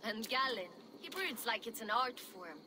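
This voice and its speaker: Brash Viking shieldmaiden for a game: bawdy, wry and teasing, voice on the high side, clearly noisy outdoor background. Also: female voice, big pitch swings, normal speed. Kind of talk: video game character acting.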